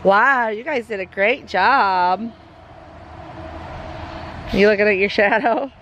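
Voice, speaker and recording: A woman, a little hoarse, chatting upbeat to her camera and kids outdoors in a homestead vlog. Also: medium pitch, slow pace, fairly bright tone, slight background noise. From Southern United States. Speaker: homestead vlogger. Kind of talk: casual vlog chat. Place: outdoors in a backyard.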